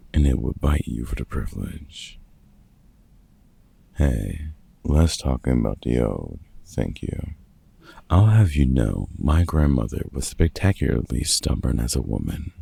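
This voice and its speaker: Gruff but kindly vampire hunter, played by a man for roleplay audio: heavily fried, dark, raspy voice, sardonic and grumbling. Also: very deep voice, exaggerated voice. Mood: wry, fond. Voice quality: gravelly, husky. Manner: dry, sarcastic, grumbling. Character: gruff, grudgingly kind older male vampire hunter. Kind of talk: roleplay ASMR audio drama monologue.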